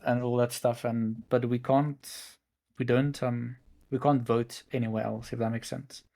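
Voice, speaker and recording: A man's voice: medium pitch, flat delivery, a little slow, gravelly, mellow. A young South African man whose first language is Afrikaans, a gamer guest chatting in a hesitant, laid-back way. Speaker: guest, semi-pro gamer. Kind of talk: casual chat.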